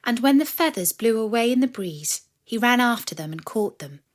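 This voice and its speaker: A woman reading a scripted story for children in a measured, expressive storyteller's manner. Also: on the high side. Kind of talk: scripted narration of a myth for children.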